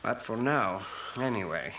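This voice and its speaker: A man's voice: fairly low pitch, lively intonation, unhurried, sharp tone, a little hiss or hum, low-quality recording. A man voicing a gallant Latin American guide with a formal, dramatic way of speaking. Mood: solemn.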